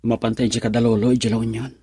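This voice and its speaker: An actor playing a defiant, earnest young son and sweetheart in a Filipino radio drama, with a husky voice and Filipino accent. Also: male voice, mid-range voice, flat delivery, quick pace, warm tone, no room sound, natural voice. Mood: persuasive. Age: young adult.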